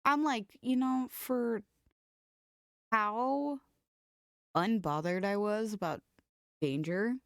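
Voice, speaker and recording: An American woman talks as a podcast co-host with a medium pitch. She tells stories casually and animatedly, full of slang and cursing. The sound is dry, with no room on it. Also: takes it slowly, pauses often, smooth, no room sound. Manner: animated, profane, slangy storytelling. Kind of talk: casual chat, reading funny stories aloud.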